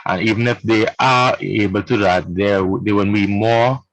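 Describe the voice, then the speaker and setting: A man from a radio club giving his view in a measured way and thinking aloud as he speaks. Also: on the low side, steady tone, poor audio. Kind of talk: casual discussion on a video call.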